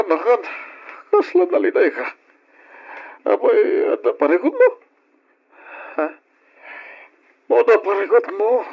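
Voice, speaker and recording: A man's voice: high-pitched, gravelly, slightly rough, full character voice. A man playing a concerned older uncle with a Filipino accent, giving fatherly, emphatic counsel in an old radio drama. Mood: concerned probing. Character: concerned older uncle, animated, advising his nephew. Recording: dull old radio recording, music behind.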